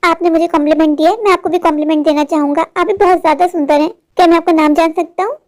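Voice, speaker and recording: A woman voicing an earnest, gullible village husband in a cartoon, wordy and easily flattered. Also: very high voice, racing. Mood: smitten. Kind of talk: cartoon dialogue and monologue.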